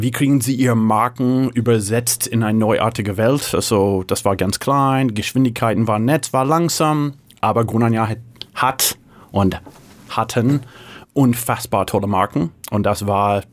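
A middle-aged man from San Francisco, a native English speaker with an American accent, answering interview questions in halting German with many fillers and restarts. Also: medium pitch. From San Francisco, USA. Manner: halting German with frequent fillers and self-corrections. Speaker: guest, tech executive.

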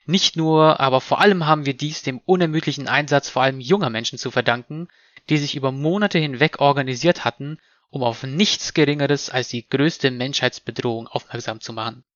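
A man's voice: mid-range voice, a little fast, a bit bright, no room sound. Male podcast host, dry dull sound. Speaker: podcast host moderating a panel. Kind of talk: scripted introduction, moderated discussion.

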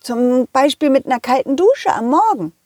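Woman doing upbeat loyal doggy hero, high voice, bouncy pitch, kids story. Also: very animated, normal speed, darkish tone, dry recording, clean studio sound. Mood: friendly, planning. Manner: encouraging, eager, friendly. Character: confident, loyal, helpful male dog hero of children's tales.

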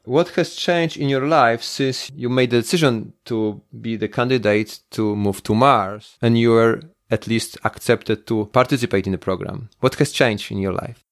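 A man's voice: mid-range voice, moderate pace, few pauses. Male interviewer, ordinary mid voice, curious follow-ups. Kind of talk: interview questions.

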